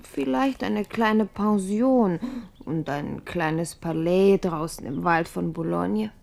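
Give a radio play its worldly former queen in her fifties. Her voice sits low with a gravelly creak, and she speaks with poise, knowing and flirtatious. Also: slow pace, darkish tone. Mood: knowing, coaxing. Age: 50s. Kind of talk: German radio play acting.

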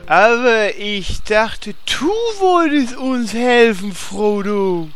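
Very high, naive adventurer. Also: male voice, animated, slow, fairly bright tone, faint music behind, full character voice. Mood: puzzled. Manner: hesitant, puzzled, polite. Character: dim, naive, timid adventurer, easily confused. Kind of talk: comedic fantasy audio drama parody.

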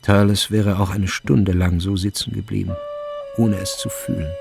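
A man's voice: lowish voice, steady tone, normal speed, vocal fry, music in the background. A man reading scripted literary narration in a radio drama.

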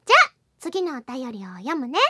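A woman playing an excitable, lovestruck anime schoolgirl co-hosting a character radio show, theatrical and exclaiming. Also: sits high, fairly quick. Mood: earnest. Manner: exclaiming, theatrical, stammering when flustered. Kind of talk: character radio show, reading listener letters.

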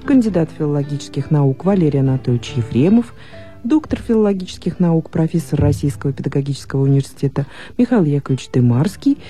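A woman's voice: deep voice, expressive, fast talker, warm tone, soft background music. A woman hosting a radio call-in program, taking calls and signing off formally. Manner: formal announcer delivery. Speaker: radio host. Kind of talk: radio presenting.

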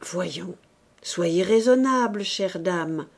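A woman voicing a stern, forceful male priest with an urgent, commanding manner, in dramatic audiobook style. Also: fairly low pitch, animated, drawn-out words, gravelly, heavily acted. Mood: soothing firmness. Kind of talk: dramatic audiobook character acting.

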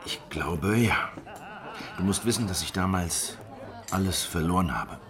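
An actor playing a weary, wary middle-aged veteran of lost uprisings in a historical radio drama, reflective and confiding. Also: male voice, low voice, measured pace, a crowd in the background. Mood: troubled, confiding.

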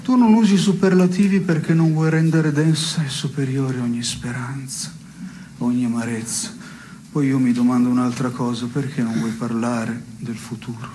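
Man acting as a dry inquisitor, mid-pitched, measured mock-formal phrasing, absurdist stage dialogue on radio, some noise behind. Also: little pitch movement, dark tone, natural voice, low-quality recording. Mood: pensive, provoking.

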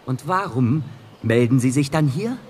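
A man's voice: mid-range voice, expressive, a little slow, a little mellow, in a large hall, a little hiss or hum, lightly acted. An actor playing an indignant, bookish, proudly unathletic man in a German radio drama, prickly and argumentative. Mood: prickly defiance.

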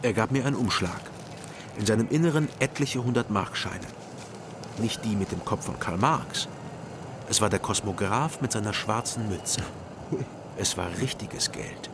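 An actor playing a world-weary private detective who narrates a radio crime drama in a dry, measured, matter-of-fact way. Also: male voice, fairly low pitch, background noise, close to an everyday voice. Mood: wry, ominous. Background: music.

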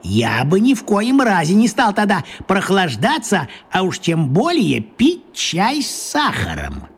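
An actor playing a small, crafty old wizard in a children's radio play, talking in a coaxing, folksy way with a husky voice. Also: male voice, high voice, huge pitch swings, a little slow, exaggerated voice.

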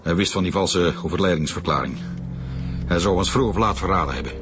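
A fed-up Flemish doctor played by a male actor makes a halting confession in a radio drama. The voice is fairly low, and music plays clearly behind it. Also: lightly acted. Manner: halting, stammering.

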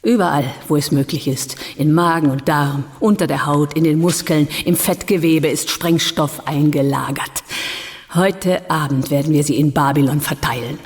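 Cool guerrilla leader, long reverb. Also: female voice, sits low, normal speed, darkish tone. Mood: militant, resolute. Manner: commanding, cool, declamatory. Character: hard, determined female urban guerrilla leader. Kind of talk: radio drama acting.

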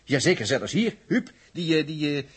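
An actor playing an excitable, wry crewman with halting, colloquial speech in a 1960s Dutch radio drama. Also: on the high side, slightly rough, full character voice. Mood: excited, uneasy. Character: excitable, wry, self-blaming spaceship crewman. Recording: noisy old 1960s radio recording.

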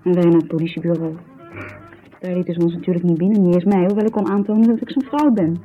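Thin-sounding, agitated bitter wife played by an actress. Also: female voice, fairly low pitch, steady tone, fast talker, natural voice. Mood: agitated recounting. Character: distraught, bitter wife of an arrested teacher, telling her story. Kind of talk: naturalistic radio drama acting. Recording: thin phone-like 1970s radio, music behind.

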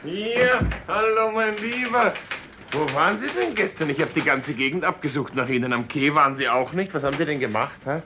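An actor playing a chummy, prying office colleague in a 1950s German radio drama. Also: male voice, on the high side, expressive, a little fast, close to an everyday voice. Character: breezy, nosy, chummy male office colleague. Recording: dull, noisy 1950s radio recording.

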